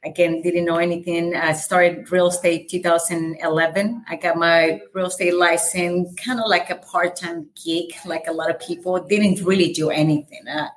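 A woman from El Salvador speaks English with a light Salvadoran Spanish accent, her first language being Spanish. Her voice sits a little low, and she talks in energetic bursts with fillers. She is a guest on a casual podcast. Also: normal speed, hardly any pauses, rough recording. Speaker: guest, real estate brokerage owner.

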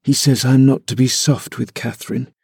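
A man's voice: medium pitch, fairly even intonation, moderate pace, gravelly, a little mellow, slightly rough, no echo, studio quality. A man voicing a sickly, spoiled young man who sulks and whines. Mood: petulant. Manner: whiny, sulky.

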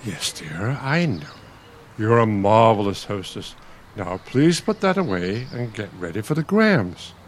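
A man's voice: lowish voice, big pitch swings, measured pace, a little hiss or hum. A man playing an irritable elderly bookish husband in a radio play, testy, condescending and sardonic. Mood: exasperated. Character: irritable elderly bookish husband, pompous retired college dean.

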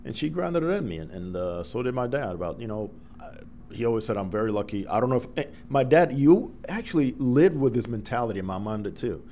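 A middle-aged man from the Dominican Republic whose first language is Spanish, a broadcaster telling lively stories as a guest in an interview. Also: medium pitch, fast, a little hiss or hum. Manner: animated storyteller, acts out quoted voices. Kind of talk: storytelling interview.

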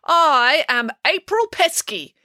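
Woman voicing an excitable, high-pitched, bossy young girl. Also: normal speed, no echo, clean studio sound. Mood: bold, declaring. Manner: emphatic, exclamatory, dramatic.

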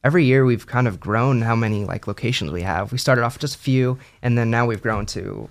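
A man's voice: mid-range voice, brisk, creaky. A young man answering interview questions in an easygoing way, with lots of ums and friendly agreement. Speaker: guest musician.

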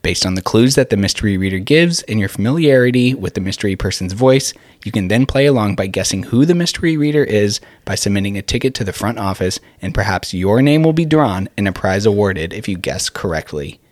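Male podcast host, school programme, scripted delivery, lower pitch, very dry recording. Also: lively intonation, a little fast, hardly any pauses, a little mellow, dry recording.

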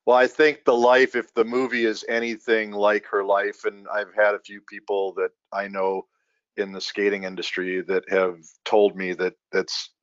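A man with a lowish voice hosts a laid-back podcast, teasing himself and rambling through his questions. His voice is recorded dry and close. The sound is slightly dull and lo-fi. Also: completely flat delivery, moderate pace, no echo. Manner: joking, self-deprecating, rambling. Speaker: podcast host. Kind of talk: casual podcast interview.

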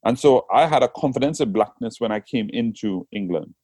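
A man's voice: medium pitch, expressive, a little fast, dark tone, slightly rough, dry recording, clean studio sound. A man from Trinidad with a Trinidadian accent, talking reflectively as a poet in a casual interview. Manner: reflective, with frequent restarts and "you know what I'm saying". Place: England.

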